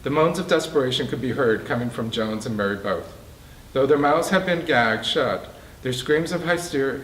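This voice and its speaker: A man reading his own prose fiction aloud to a live event, halting and emphatic, stumbling over words now and then. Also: medium pitch. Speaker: author reading from own book. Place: bookstore reading event, indoors. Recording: roomy, distant mic, faint background noise.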